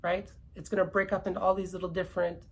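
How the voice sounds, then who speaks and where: Emphatic woman with a gravelly edge. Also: fairly low pitch, little pitch movement, quick pace. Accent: British-descended Canadian. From Canada. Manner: emphatic, repetitive, ranting. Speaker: commentator. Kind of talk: casual political chat.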